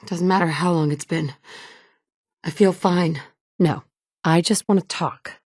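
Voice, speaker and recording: A blunt sarcastic game heroine, lowish voice, super dry studio sound. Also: female voice, steady tone, unhurried, darkish tone, dry recording. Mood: terse. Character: tough wry woman survivor, young adult. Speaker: player character. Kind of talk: video game dialogue.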